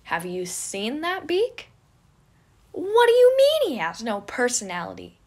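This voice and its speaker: A woman's voice: on the high side, wildly swooping pitch, unhurried, voice pushed up, heavily acted. A woman voicing a boisterous, theatrical and eccentric man in an audio story. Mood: indignant scolding. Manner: loud, theatrical, exclaiming. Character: boisterous, gleefully chaotic eccentric man who scolds birds. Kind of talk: fan fiction audio story character.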